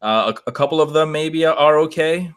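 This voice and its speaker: A man co-hosting a podcast in casual discussion, talking haltingly as he feels out each point. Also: on the high side, smooth. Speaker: podcast co-host.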